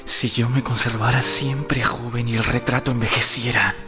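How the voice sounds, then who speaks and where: A male actor plays a vain young gentleman in a radio drama. His delivery is theatrical and intense, sometimes breathy, with a medium pitch. Music runs clearly behind the voice. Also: level tone, moderate pace, close to an everyday voice. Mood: troubled wonder.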